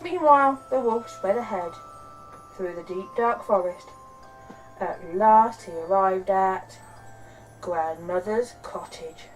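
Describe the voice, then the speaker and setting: A woman hosting and narrating a children's bedtime story in an expressive, deliberate, dramatic way. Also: medium pitch, distant mic, soft background music, low-quality recording.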